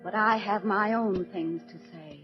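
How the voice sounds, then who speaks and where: A woman's voice: mid-range voice, normal speed, lightly acted. An actress playing an earnest, defiant middle-aged American housewife and mother in a solemn 1940s radio monologue. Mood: scornful, defiant. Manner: solemn, theatrical, emphatic. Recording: dull 1940s radio recording, faint music.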